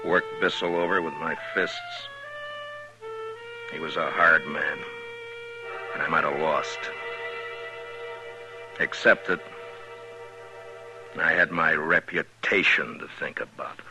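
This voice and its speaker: An actor playing a stern, hard frontier marshal in a 1950s radio drama, with a deep, commanding voice. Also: male voice. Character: stern frontier marshal, middle-aged man, steady and hard. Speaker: lead actor and narrator. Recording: dull old radio recording with music.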